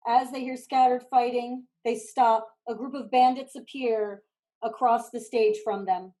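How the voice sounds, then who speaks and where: Higher-voiced woman reading stage directions. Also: steady tone, a little slow, warm tone, low-quality recording. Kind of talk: scripted narration for play reading.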